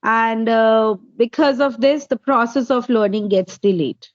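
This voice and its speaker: A woman's voice: a little high, measured pace, no echo, lo-fi sound. A woman from India, a native Hindi speaker with an Indian accent, answering interview questions as an English tutor and laying out her points one by one. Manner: explains step by step, numbering points.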